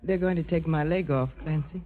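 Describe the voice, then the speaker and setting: A woman's voice: deep voice, brisk. A woman playing a brave, lovelorn injured patient in an earnest, emotional style of 1950s radio drama. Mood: anguished, confiding. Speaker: actress. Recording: thin, narrow-band old radio recording.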